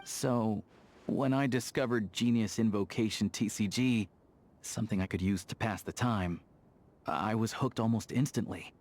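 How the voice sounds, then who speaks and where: A man voicing a pragmatic, easygoing young hunter and lawman in a video game, talking in an unhurried, matter-of-fact way. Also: lowish voice, a little hiss or hum, lightly acted. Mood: reflective. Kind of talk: video game character dialogue. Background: soft background music.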